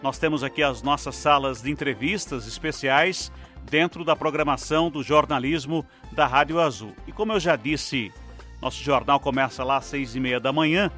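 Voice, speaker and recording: A man's voice: mid-range voice, normal speed, sharp tone, faint music behind. A Brazilian man hosting a live radio news interview in a formal announcer cadence. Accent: Brazilian Portuguese. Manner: formal announcer cadence, long flowing sentences. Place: radio studio.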